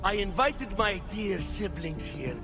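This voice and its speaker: A proud, ageless ruler in a video game who speaks down to others as if every moment were a lesson. His voice sits high and rises and falls widely. Game music plays underneath. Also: expressive, unhurried. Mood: haughty. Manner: condescending, lecturing. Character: proud scheming male ruler Atlas, ageless.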